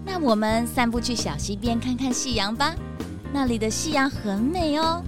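A woman with a Taiwanese accent voicing a gentle, timid female deer in a children's audio drama, sweet and expressive. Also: fairly high pitch, normal speed, over music, close to an everyday voice. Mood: dreamy.

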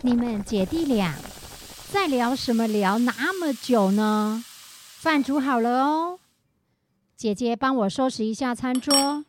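A woman acts out a fretful, caring mother in a children's radio play. Her voice is high, with a Taiwanese Mandarin accent. There is clear noise behind her. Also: lively intonation, measured pace. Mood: fond, weary. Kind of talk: children's radio drama acting. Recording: clearly noisy background.

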